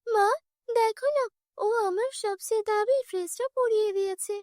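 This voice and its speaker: A woman's voice: very high-pitched, moderate pace, a little mellow, dry recording, studio quality, voice pushed up. A woman voicing a spoiled, spiteful young stepsister in a cartoon, bossy and whining. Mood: whiny outrage.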